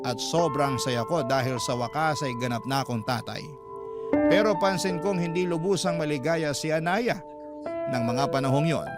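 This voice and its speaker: A man with a Filipino accent reading a listener's story as a radio host, in sentimental, measured, dramatic narration. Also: a little high. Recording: loud music under the voice. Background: loud background music.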